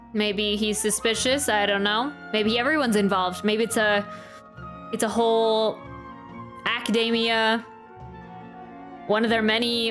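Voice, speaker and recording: A woman streamer reacting to a game and chatting casually, rambling and often interrupting herself. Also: a little high. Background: soft game music.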